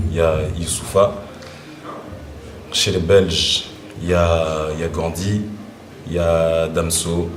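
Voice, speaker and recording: A man talking casually in an interview, reflective and hesitant as he searches for words. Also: low-pitched. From Rwanda and Brussels, Belgium. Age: 30s. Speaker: slam poet and rapper being interviewed. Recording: roomy echo with music playing underneath. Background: music.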